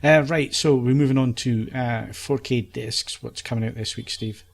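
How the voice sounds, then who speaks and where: A man's voice: mid-range voice, fairly even intonation, normal speed, creaky. A man hosting a casual podcast and steering the conversation. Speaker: podcast host.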